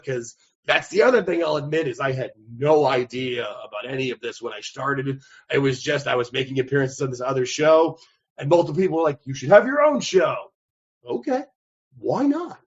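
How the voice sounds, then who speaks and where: A man talks as a podcast guest, with lots of energy, rambling and restarting his sentences. His voice sits a little high. The recording is dull and lo-fi. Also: fairly quick, slightly rough. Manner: energetic, rambling, self-correcting. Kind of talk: casual interview chat. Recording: dull lo-fi sound.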